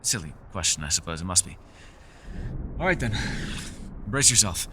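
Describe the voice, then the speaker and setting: Fantasy ASMR roleplay: male actor as courteous, mysterious elf scholar, theatrical and genteel, animated mid-pitched delivery over clear storm ambience. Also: moderate pace, heavy background noise. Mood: eager. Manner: theatrical, genteel, old-fashioned formal phrasing. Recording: rain, thunder and storm ambience behind. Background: rain and thunder sound effects.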